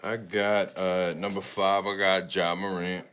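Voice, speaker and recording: Deep-voiced man chatting about sports. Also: flat delivery, a little slow, a bit bright. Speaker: sports podcast panelist. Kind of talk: casual chat.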